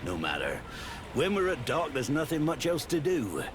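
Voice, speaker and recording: An actor voicing a chummy, easygoing sailor in a video game with a familiar, informal manner. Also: male voice, a little high, huge pitch swings, moderate pace, background noise. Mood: friendly, wry.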